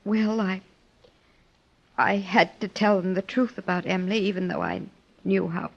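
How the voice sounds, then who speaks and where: An actress playing an earnest, grieving young wife in old-time radio drama style, speaking haltingly with emotion. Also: female voice, mid-range voice, expressive, gravelly, slightly rough. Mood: confiding. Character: grieving young wife of a wealthy older man. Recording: dull, lo-fi old radio recording.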